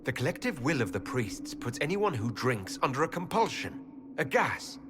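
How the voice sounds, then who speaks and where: An actor playing a cautious, protective elven leader in a fantasy game, questioning earnestly and firmly. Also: male voice, mid-range voice, sing-song, moderate pace, close to an everyday voice. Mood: grave, resolute. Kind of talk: dramatic fantasy game dialogue. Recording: clear ambient noise behind voice.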